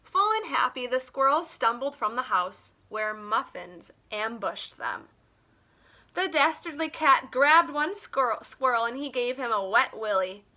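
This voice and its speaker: Slow, animated woman reading a storybook. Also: on the high side. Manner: animated, deliberate. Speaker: teacher reading a picture book aloud. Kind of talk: expressive children's story reading.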